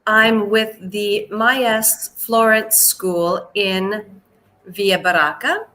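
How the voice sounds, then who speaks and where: An American woman from Seattle teaching English on a live video call, chatty and joking, slowing down when she greets her students. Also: medium pitch, fairly bright tone. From United States, Seattle. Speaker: English teacher hosting a live online class. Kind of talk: live online lesson, chatty.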